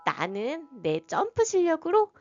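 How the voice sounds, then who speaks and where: A woman voicing a cheeky, teasing young rabbit in a storybook, in a high voice, with soft music behind. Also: wildly swooping pitch, unhurried, dull audio, heavily acted. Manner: teasing, giggly.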